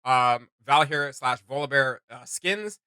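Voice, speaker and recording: A man giving casual, enthusiastic reaction commentary as a video host, in an excitable, slangy and emphatic way. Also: mid-range voice, a little slow, clean tone, dry recording, studio quality.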